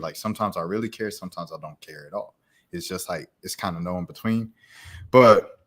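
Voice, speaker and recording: A man's voice: mid-range voice, fairly bright tone. A young man co-hosting a podcast, talking in a loose, rambling, slangy way with many restarts. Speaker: podcast co-host. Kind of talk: casual chat.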